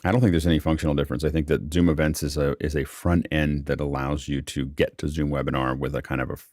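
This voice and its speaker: A man's voice: extremely low pitch, little pitch movement, fast, a little mellow, no room sound, clean studio sound. A man hosting a casual tech question-and-answer panel, explaining things informally. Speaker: host and moderator of a panel.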